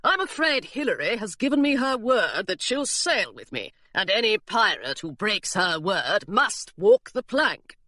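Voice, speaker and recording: A smooth, courtly male pirate captain, as a woman narrator puts him on, lowered from her own voice with lively pitch. Dry, close sound. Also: unhurried, hardly any pauses, no echo. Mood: mock-grave.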